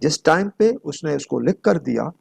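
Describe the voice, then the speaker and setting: A man's voice: fairly high pitch, animated, normal speed, creaky, breathy edge. A man giving an explanatory law lecture, reading out formal text and restating each point in a didactic way. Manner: didactic, repeats and rephrases points. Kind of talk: explanatory lecture mixing read-out statute and plain examples.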